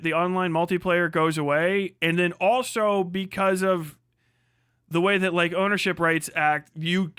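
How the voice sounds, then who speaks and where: A man's voice: high voice, sharp tone, clear, resonant tone, no room sound, studio quality. A man from the United States giving a casual, profane opinion rant as a livestream host, blunt, irreverent and emphatic.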